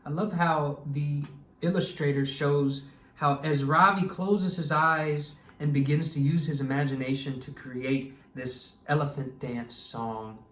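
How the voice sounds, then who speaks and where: A man reading a children's story aloud expressively and pausing to explain things to young listeners. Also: fairly high pitch, slight background noise. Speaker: children's storytime reader and educator. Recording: echoey room, distant microphone.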